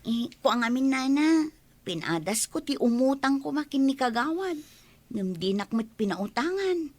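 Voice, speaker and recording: A woman's voice: high-pitched, very animated, moderate pace, no echo. A woman acting a meek, apologetic newcomer to the village, with an Ilocano accent, in a comedy radio drama. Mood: meek, dejected. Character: apologetic young newcomer woman asking for credit.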